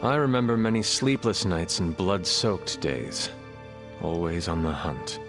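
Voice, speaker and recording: A man acting a stoic ancient demon hunter in a video game cutscene, with measured, formal phrasing. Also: low-pitched, over music. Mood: grimly nostalgic.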